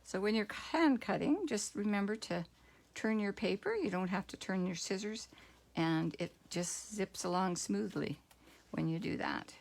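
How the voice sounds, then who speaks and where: Croaky-voiced woman demonstrating card making. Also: mid-range voice. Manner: relaxed, rambling, thinks aloud with pauses. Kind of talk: casual craft tutorial.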